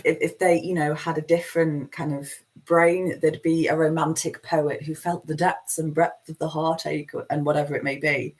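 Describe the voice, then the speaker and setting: Manchester lass hosting a live spiritual chat, low voice, rambly and self-deprecating. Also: female voice, low-quality recording. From Manchester, England. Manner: meandering, self-deprecating, chatty.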